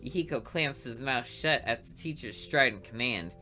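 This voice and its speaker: Woman host reading game text aloud, deep and crisp, dry wit. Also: moderate pace, pushed and bright, soft background music. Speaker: let's play host. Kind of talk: reading a visual novel aloud.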